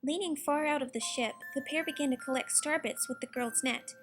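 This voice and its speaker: A woman's voice: sits high, brisk. A woman reading a scripted children's storybook as its narrator. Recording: music behind the narration. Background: music.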